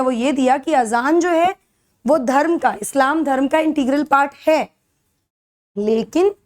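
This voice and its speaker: High-pitched Indian woman lecturing. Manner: instructional, checks understanding with short tag questions. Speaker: educator for civil service exam courses. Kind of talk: explanatory lecture mixing Hindi and English.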